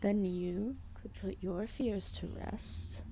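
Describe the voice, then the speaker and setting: A woman's voice: mid-range voice, dark tone, slight background noise. A woman voicing a giant, ancient serpent deity, regal, drowsy and amused, speaking in formal, condescending phrases in an ASMR roleplay audio drama. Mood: amused condescension. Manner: slow, languid, formal, condescending speech.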